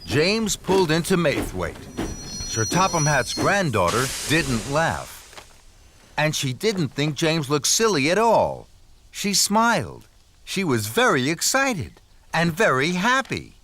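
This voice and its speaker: A man narrating a scripted children's story in an expressive storytelling manner. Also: fairly high pitch, takes it slowly, a little hiss or hum. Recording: music under the voice.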